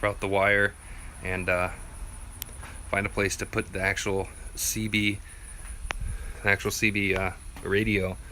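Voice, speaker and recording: A man with a very bright, forward voice walks through a DIY tutorial in a plainspoken, casual way. His tone stays flat and even throughout. There is some background noise on the handheld recording. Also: fairly low pitch, measured pace, pauses often. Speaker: vlogger presenting a DIY gear tutorial. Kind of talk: casual unscripted how-to walkthrough.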